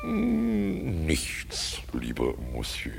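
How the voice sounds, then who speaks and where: An actor playing a smug, cosy donkey-headed fool in a classic radio comedy, with a drawling, muttering, self-satisfied delivery. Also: male voice, wildly swooping pitch, very slow, faint music behind, full character voice. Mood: ticklish, delighted. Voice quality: gravelly, husky, very deep.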